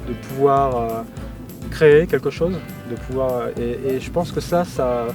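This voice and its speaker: A man's voice: medium pitch, unhurried, over music. A middle-aged man being interviewed casually, speaking hesitantly and often repeating his words. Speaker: interviewee, professional sled-dog musher.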